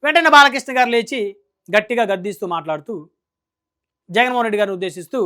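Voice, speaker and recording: A man's voice: extremely high pitch, lively intonation, quick pace, pushed and bright. A man giving opinionated political news commentary in an emphatic, scolding manner, full of rhetorical questions.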